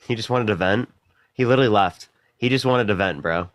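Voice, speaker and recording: Fast-talking male livestream host. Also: on the low side. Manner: quick, casual, repeats thanks to viewers. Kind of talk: casual live-stream chat and debate.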